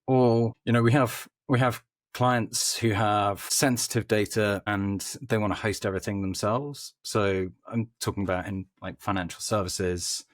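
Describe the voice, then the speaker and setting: A man speaking as a podcast guest, explaining things informally in conversational answers with hesitant pauses. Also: on the low side, fairly even intonation, dry recording. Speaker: podcast guest, company head of growth.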